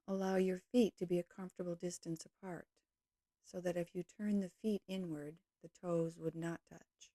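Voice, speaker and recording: Low, mellow woman guiding meditation. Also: no echo. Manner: calm, measured, gentle delivery with short phrases. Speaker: yoga nidra teacher guiding a meditation. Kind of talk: guided meditation, slow instructional narration.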